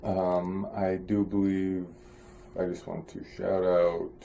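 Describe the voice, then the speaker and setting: A young man vlogging in a casual, low-energy, nearly monotone way, with many ums and restarts. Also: slow, creaky, a little hiss or hum. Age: young adult. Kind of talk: casual vlog talk to camera.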